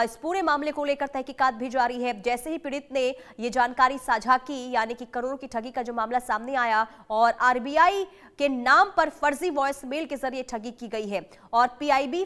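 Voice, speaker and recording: A woman's voice: high voice, fairly bright tone. A woman anchoring live breaking news, speaking in an urgent, cautioning and repetitive way.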